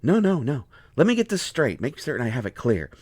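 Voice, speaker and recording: A man playing an indignant, hurt healer mage in a fantasy roleplay audio, expressive, sarcastic and exasperated. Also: medium pitch, fairly quick, no room sound. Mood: indignant, wounded. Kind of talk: dramatic roleplay audio acting.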